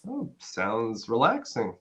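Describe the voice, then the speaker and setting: A man's voice: medium pitch, wildly swooping pitch, slow pace, sharp tone, exaggerated voice. A man playing an affable, self-satisfied businessman and boyfriend in a dramatic play reading. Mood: placating.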